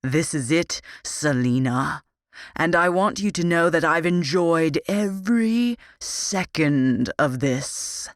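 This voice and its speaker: Narrator voicing a slow, sadistic demon captor. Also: medium pitch, animated, vocal fry, dry recording, clean studio sound, heavily acted. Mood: gloating threat. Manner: slow, drawn-out menacing delivery. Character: sadistic male demon torturer, cruel and gloating. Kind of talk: audiobook character voice.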